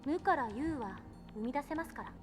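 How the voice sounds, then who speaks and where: A woman's voice: high-pitched, animated, rapid-fire, music in the background. A woman voicing a composed, intellectual eighteen-year-old female scientist in a visual novel game, lecturing precisely and assertively.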